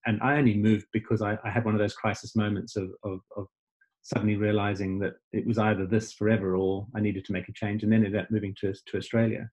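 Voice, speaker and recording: A middle-aged man from Zimbabwe, a life coach guest on a video call, speaking thoughtfully and haltingly. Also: on the low side, little pitch movement, darkish tone, rough recording. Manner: halting, many fillers and restarts, thoughtful. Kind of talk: casual video call conversation.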